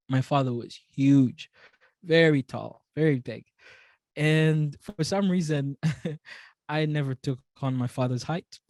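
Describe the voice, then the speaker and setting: A man's voice: mid-range voice, no room sound. Young guy from congo telling stories in a talk, swahili is his first language, repeats words a lot, dry close mic. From Democratic Republic of Congo. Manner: warm storytelling with frequent repeated words and chuckles. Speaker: motivational speaker. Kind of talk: storytelling motivational talk.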